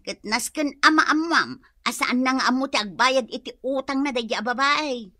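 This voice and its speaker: An actress playing a nosy, spiteful and bossy sister-in-law in a comedic radio drama, with a pushy, scolding manner. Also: female voice, high-pitched, moderate pace, pushed and bright, no room sound, clean studio sound. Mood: bossy, insistent.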